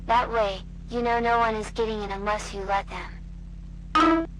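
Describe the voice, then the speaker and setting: A woman's voice: fairly high pitch, expressive, measured pace. A woman playing a small-town safety adviser giving scripted advice in an announcement. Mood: advising. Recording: noisy recording with hum.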